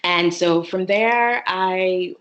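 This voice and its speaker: A woman's voice: fairly low pitch, dull audio. A woman in her forties from the Bahamas, a lab manager telling her career story on a video call panel with frequent "um"s. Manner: measured, even delivery with many "um"s. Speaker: laboratory manager, alumni panelist.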